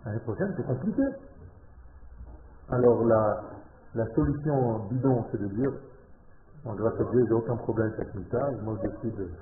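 A man from Israel, speaking French as a learned language and giving a passionate religious lesson to a small group, shifting from quiet talk to loud exclamations. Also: mid-range voice, moderate pace, pauses often, slight background noise. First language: Hebrew. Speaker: religious teacher. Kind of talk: Torah lesson to a live group. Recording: tinny phone-like echoey room.

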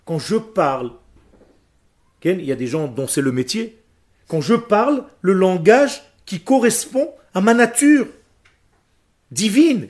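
A man's voice: high voice, darkish tone. Emphatic man teaching a class. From Israel. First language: Hebrew. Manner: emphatic, questions the class, explains word by word. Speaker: Hebrew and Torah teacher.

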